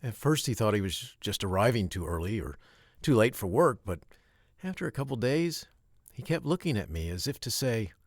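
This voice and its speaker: A man narrating a children's story from a script, with expressive, emphatic phrasing and short pauses. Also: lowish voice, no echo, studio quality.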